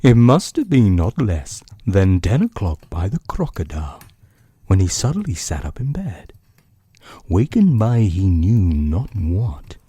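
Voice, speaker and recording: A man with a low-pitched, mellow voice narrates like a bedtime storyteller. He takes his time, lingering on phrases with dramatic pauses. His delivery has a husky edge. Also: huge pitch swings, no room sound. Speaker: podcast host and storyteller.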